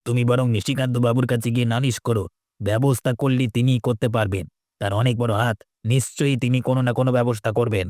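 A practical, supportive village neighbour from a cartoon, played by a man, talks extremely fast at a medium pitch. He is matter-of-fact and urging, with a dry, close sound. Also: fairly even intonation, darkish tone, no echo, natural voice. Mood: advising. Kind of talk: cartoon dialogue acting.

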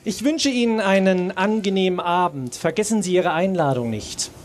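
A man's voice: high voice, animated, moderate pace, a little hiss or hum. Courteous male Soviet driver played by a man. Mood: polite. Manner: courteous, matter-of-fact. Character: polite, dutiful male Soviet embassy driver. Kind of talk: live radio play. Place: outdoor festival stage under a tent.

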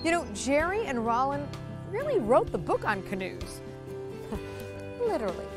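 A woman's voice: high voice, huge pitch swings, measured pace, music in the background. A woman hosting a travel show, chatting playfully. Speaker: host. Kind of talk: casual travel show chat.

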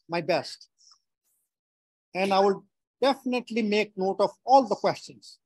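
A man's voice: high voice, no echo, rough recording. Dry-sounding Nepali-accented man presenting. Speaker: webinar speaker. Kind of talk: prepared webinar talk.

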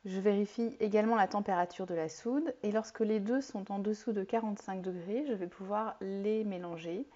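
A woman in her forties explaining soap making step by step in a home kitchen, with a clear, calm teaching manner and a few fillers. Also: mid-range voice, fairly quick. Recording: slightly dull lo-fi sound.

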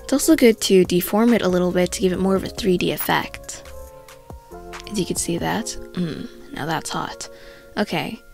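A woman's voice: fairly low pitch, moderate pace, vocal fry, a little mellow, faint music behind. A young woman with a stuffy, congested voice, talking casually through an art tutorial voice-over with self-mocking asides. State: congested from a cold.

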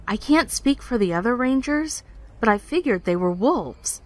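Podcast drama: self-conscious young woman ranger, middle-pitched, hesitant, nervously confiding. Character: uneasy, apologetic young female park ranger. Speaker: actor in audio drama. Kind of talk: naturalistic podcast drama dialogue, recounting.

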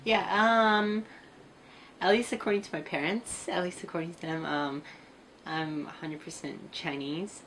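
Low-voiced young woman telling stories. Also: steady tone, unhurried, slight background noise. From United States. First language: Cantonese. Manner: easygoing, rambling storytelling. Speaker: interview guest. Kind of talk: casual chat. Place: small indoor room with computers.

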